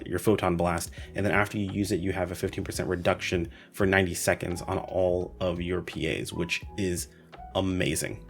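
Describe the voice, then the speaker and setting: A man's voice: low-pitched, gravelly. A man giving a casual, conversational explainer commentary for a gaming video, with emphatic asides. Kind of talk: casual explainer commentary on game weapon stats. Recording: voice-over with music behind.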